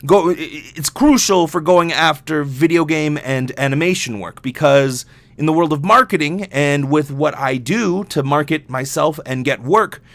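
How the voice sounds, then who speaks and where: A man's voice: on the high side, normal speed, fairly bright tone, dry recording. A young adult man talking casually and candidly as a solo podcast host, rambling and swearing freely.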